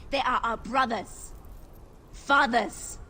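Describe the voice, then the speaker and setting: An actress playing a righteous, outraged priestess in a video game, accusing and impassioned. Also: female voice, a little high, takes it slowly, bright, forceful tone, slight background noise.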